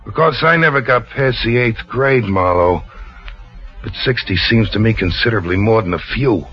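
An actor playing a menacing, mock-reasonable loan shark in an old-time radio drama, slow and sardonic. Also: male voice, on the low side, faint music behind, lightly acted. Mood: coolly threatening. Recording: muffled vintage radio recording.

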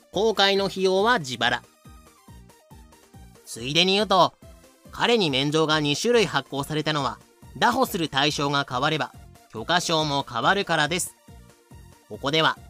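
Bright-voiced man narrating comedic audio drama. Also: a little high. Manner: explanatory with dry sarcastic asides.

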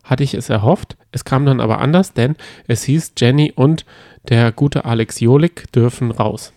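A man's voice: medium pitch, moderate pace, warm tone, no room sound, studio quality. A man co-hosting a casual podcast with playful, teasing banter. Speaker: podcast co-host. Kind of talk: casual banter recapping reality TV.

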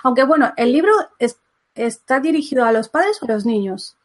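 A woman's voice: on the high side, lively intonation, brisk, darkish tone. A woman from Menorca hosting a podcast interview, asking questions and agreeing often. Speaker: podcast host. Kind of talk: casual interview.

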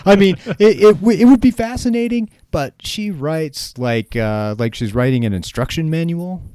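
A man's voice: on the high side, animated, normal speed, warm tone, clean tone, dry recording. An American man from Utah co-hosting a podcast in casual, joking conversation. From Utah, United States. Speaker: podcast co-host.

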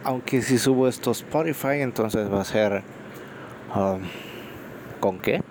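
A man's voice: lowish voice, gravelly, background noise. A Salvadoran man with a Salvadoran Spanish accent hosting an unscripted solo podcast, rambling with frequent fillers, recorded on a phone microphone. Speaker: podcast host.

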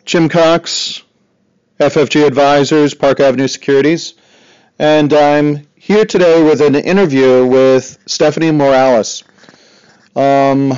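A man hosts a casual interview in a clean, smooth, mid-pitched voice. He phrases his questions haltingly, with pauses as he goes. The audio is a little dull and lo-fi. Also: darkish tone.

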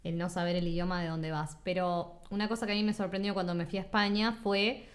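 A woman's voice: lowish voice, flat delivery, fast talker. A woman from Uruguay with a Uruguayan accent, hosting a casual conversational interview. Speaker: podcast host.

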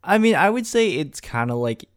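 A man's voice: sits high, sing-song, normal speed, clean tone. A man co-hosting a podcast, chatting casually and asking curious questions, often apologizing as he cuts in. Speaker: podcast co-host. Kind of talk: casual chat.

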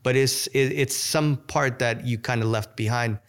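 A man's voice: medium pitch, steady tone, normal speed, clear, resonant tone. A man with a Portuguese accent, hosting a conversational interview and relating the guest's story to his own experience. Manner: engaged, sharing own experience, encouraging. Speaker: host.

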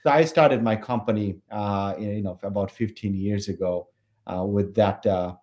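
A man in his forties from Bangladesh, a company founder answering interview questions in a relaxed, halting way with many fillers. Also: lowish voice. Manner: hesitant, many fillers and restarts. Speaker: company founder and CEO, podcast guest.

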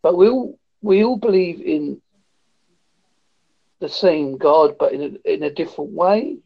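A man in his fifties talking calmly and thoughtfully on a video call. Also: on the high side, creaky, highs cut off. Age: 50s. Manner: calm, measured, reflective. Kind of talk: casual conversation.

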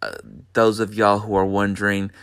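A man from Texas, hosting a podcast from his bedroom in an unhurried, hesitant way with lots of fillers. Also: on the low side, little pitch movement, a bit bright, clean tone, dry recording, studio quality. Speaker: podcast host. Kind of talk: casual monologue.

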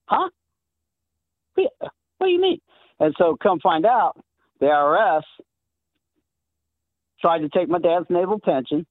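A man's voice: high-pitched, sing-song, measured pace, long pauses, no room sound. Chatty Southern man on a phone line. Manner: chatty storyteller, laughs often. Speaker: caller. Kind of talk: call-in show chat.

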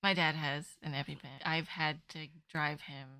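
A woman podcast co-host chatting casually and telling stories in an animated, playful way. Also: low-pitched, normal speed, a loud crowd. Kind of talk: casual chat.

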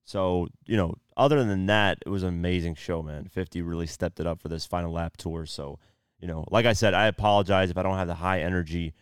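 A tired young man hosting his own podcast, losing his voice and keeping the energy low, talking casually with lots of fillers. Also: fairly even intonation, fairly quick, smooth, no echo, clean studio sound. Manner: laid-back, subdued, lots of fillers like "you know" and "um". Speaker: podcast host. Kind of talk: casual solo podcast talk.

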